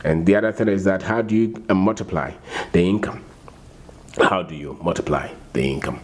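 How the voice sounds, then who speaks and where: A man's voice: low voice, moderate pace. A man with a Ghanaian English accent giving an unscripted motivational talk, repeating himself and firing rhetorical questions. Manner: repeats and restarts phrases, asks rhetorical questions. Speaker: finance talk host.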